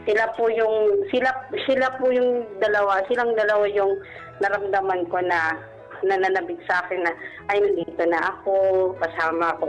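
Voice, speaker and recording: A woman's voice: medium pitch, rough recording. Filipino woman caller over background music. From Philippines. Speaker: radio show caller. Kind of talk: candid personal storytelling in casual chat.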